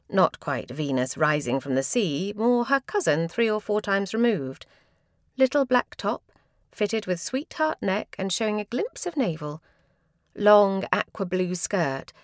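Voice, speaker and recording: A woman narrating a comic short story in a wry, arch, expressive manner. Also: mid-range voice, no echo, clean studio sound. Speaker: story reader.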